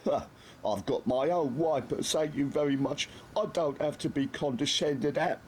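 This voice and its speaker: A man's voice: low voice, huge pitch swings, unhurried, slight background noise, heavily acted. A man voicing a scruffy young petty thief who whines and protests indignantly in an audiobook. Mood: huffy. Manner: whining, indignant, tearful protests. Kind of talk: audiobook character voice.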